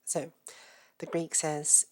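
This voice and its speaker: Low-voiced woman with a cold. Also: slow. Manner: relaxed, self-deprecating, explanatory. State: has a cold, voice a bit off. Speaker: host. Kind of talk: educational reading and commentary.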